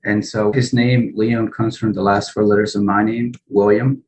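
Low-voiced young man on a call. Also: flat delivery, moderate pace, darkish tone. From Peru, raised in Texas. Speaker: engineering student guest. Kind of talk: casual interview answers. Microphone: video call. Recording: faint background noise.

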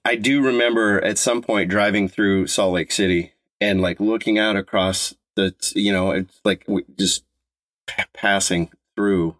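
A man in his fifties from Colorado, co-hosting a podcast in casual chat, talking with a slight stutter and halting restarts. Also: lowish voice, steady tone, a bit bright, dry recording. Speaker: podcast co-host.

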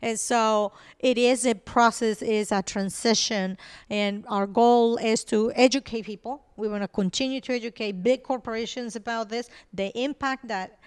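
Earnest woman with a smooth, clear voice. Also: on the high side, steady tone, moderate pace, no room sound. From Colombia, raised in Venezuela. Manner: earnest and persuasive, with fillers. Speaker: podcast guest, nonprofit founder. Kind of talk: conversational interview.

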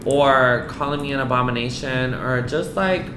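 A woman from the US East Coast telling a personal story to a host, candid and animated, filling her talk with "like" and some swearing. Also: a bass voice, normal speed, noisy background. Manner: frank, animated, peppered with "like" and swearing. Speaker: guest. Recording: roomy echo.

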